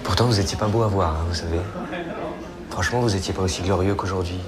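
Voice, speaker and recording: A man's voice: low-pitched, quick pace, a loud crowd, natural voice. An actor playing a bitter man who calls himself a failure and lashes out at his old friends. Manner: bitter, self-lacerating confrontation. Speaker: character in a feature film. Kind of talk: film acting.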